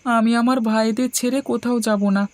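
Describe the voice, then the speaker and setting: A woman's voice: fairly high pitch, fairly even intonation, normal speed, a little mellow, no room sound, natural voice. A woman voicing a humble, honest, hardworking young boy, the youngest brother in a cartoon folktale. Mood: humble, earnest. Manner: meek, earnest, polite.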